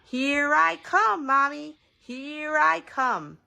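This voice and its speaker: A woman voicing an excitable, playful, bossy little boy in a storybook, exclaiming and pleading. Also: fairly high pitch, moderate pace, creaky, pitched above the performer's own voice, heavily acted. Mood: excited, playful.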